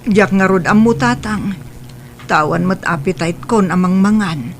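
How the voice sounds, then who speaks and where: A man's voice: high-pitched, a little slow, slight background noise. A man playing a weary grown son. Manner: soft, tired, subdued. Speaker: son in a family drama.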